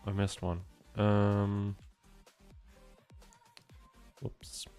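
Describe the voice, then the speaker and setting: A young man streaming a game from home, chatting with his viewers in a soft, meandering way. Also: lowish voice, completely flat delivery, extremely slow, clean tone, faint music behind. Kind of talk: casual gameplay commentary.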